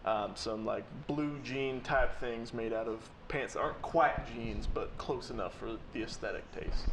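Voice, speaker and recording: A man's voice: mid-range voice, a little slow, fairly bright tone, reverberant, slight background noise, poor audio. A man playing a tabletop game, teasing and dry as he speaks in character and describes his hero. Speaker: player.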